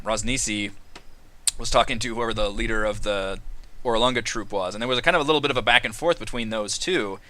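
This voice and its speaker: A man's voice: lowish voice, pushed and bright, clean tone, no echo. A man hosting a casual roundtable discussion, explaining things in an informal way with frequent asides. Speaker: host of a tabletop RPG discussion.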